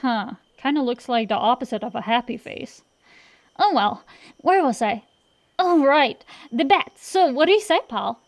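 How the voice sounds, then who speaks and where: Woman playing a high-voiced, cocky tomboy dragon girl. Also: lively intonation, a little slow. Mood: flippant. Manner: sassy, slangy, defensive, blustering. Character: cocky tsundere tomboy dragon-girl sorceress, young gambler. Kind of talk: acted roleplay ASMR, bantering monologue to the listener.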